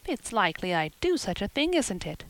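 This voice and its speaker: A woman voicing an excitable, loyal young girl who talks quickly and with girlish emphasis. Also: medium pitch, no echo. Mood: excited.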